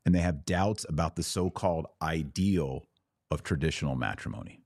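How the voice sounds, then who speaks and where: A man's voice: rumbling low, normal speed, a little mellow, dry recording, studio quality. A man hosting a podcast, giving emphatic, argumentative religious commentary in a partly improvised way, leaning on key words.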